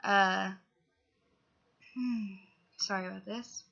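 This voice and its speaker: A young woman with a bright, gravelly edge to her voice rambles through a casual vlog update, sniffling from a cold. She strings her thoughts together with fillers and little asides. The audio is dull and lo-fi, as if recorded at home on basic gear. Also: medium pitch, steady tone, slow. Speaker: vlogger. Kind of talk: casual update chat to camera.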